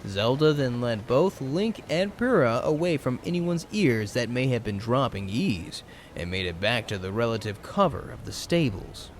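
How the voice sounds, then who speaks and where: A man narrates a fantasy story from a script in a dramatic style. His medium-pitched voice rises and falls a lot, with faint noise behind it. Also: very animated, normal speed.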